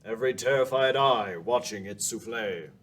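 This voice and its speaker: A man's voice: mid-range voice, normal speed, a bit bright, close to an everyday voice. A man giving scripted, dramatic narration as a narrator in a radio play. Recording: roomy, off-mic, faint noise.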